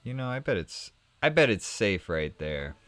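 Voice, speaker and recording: A man's voice: fairly low pitch, sing-song, moderate pace, a bit bright, no room sound, soft background music. A young man casually chatting while streaming a card game, telling a rambling story with frequent restarts. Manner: rambling, self-correcting storyteller. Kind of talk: casual gameplay chat and anecdote.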